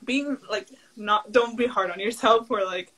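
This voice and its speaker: A woman's voice: mid-range voice, unhurried, fairly bright tone, low-quality recording. A young woman whose first language is Spanish, a guest entrepreneur chatting casually over a video call, full of "like" fillers and easy laughs. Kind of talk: casual interview chat.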